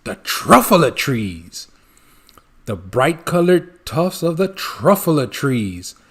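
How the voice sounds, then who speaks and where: A man voicing a secretive old recluse who tells a storybook tale in rhyme with dramatic, drawn-out words. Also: on the high side, full character voice. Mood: wondering. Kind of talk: storybook read-aloud in rhyme.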